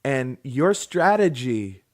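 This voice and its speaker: A man's voice: mid-range voice, big pitch swings, takes it slowly. A man co-hosting a podcast, teasing his partner in casual, playful chat and exaggerating for laughs. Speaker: podcast co-host. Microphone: podcast microphone.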